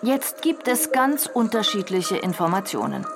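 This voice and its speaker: An actress playing a brisk, probing radio news presenter who questions in a businesslike way within a radio drama. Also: female voice, mid-range voice, big pitch swings, over loud music. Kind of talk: radio news interview acting.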